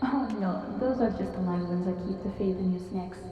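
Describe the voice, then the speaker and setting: A woman's voice: mid-range voice, fairly quick, lightly acted. A woman playing a teasing potion-brewing mentor. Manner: teasing, fussy, theatrical. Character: eccentric female potion brewer and magic mentor. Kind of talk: audio drama acting. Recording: roomy distant dull-toned sound.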